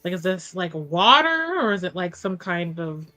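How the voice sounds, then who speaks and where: A woman's voice: on the low side, very animated, moderate pace, bright, forceful tone, no room sound, faint music behind. A woman chatting casually as a player in a tabletop roleplaying game.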